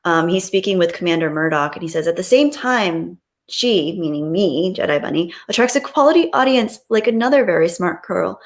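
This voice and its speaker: A woman's voice: fairly low pitch, expressive, normal speed, hardly any pauses. A woman hosting a livestream, chatting casually and reading out viewer comments in a gushing, appreciative, playful way. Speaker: livestream host.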